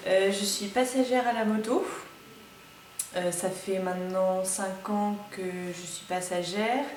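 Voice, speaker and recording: A woman's voice: mid-range voice, fairly even intonation, slow, darkish tone, a little hiss or hum. A woman in her early twenties, a motorcycle passenger giving shy, hesitant interview answers. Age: 20s. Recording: roomy sound.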